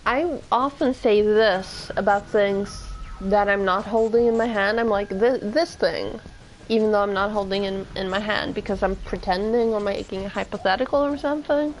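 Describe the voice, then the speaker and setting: A woman's voice: mid-range voice, moderate pace, a little hiss or hum, poor audio. A woman whose first language is Swedish, casually chatting and thinking aloud while streaming a game. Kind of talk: casual let's-play commentary.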